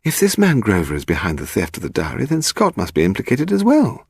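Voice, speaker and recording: A man's voice: lowish voice, huge pitch swings, brisk, darkish tone, slightly rough, no room sound, studio quality, voice pushed up, full character voice. A man voicing a sweet, sceptical wife in a detective story. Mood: disbelieving. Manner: sweet, incredulous.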